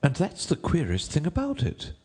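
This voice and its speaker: Guy doing a nervous polite rabbit from a storybook, voice way up high. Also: wildly swooping pitch, moderate pace, darkish tone, breathy edge, in a large hall, full character voice. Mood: flustered, respectful. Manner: respectful, anxious.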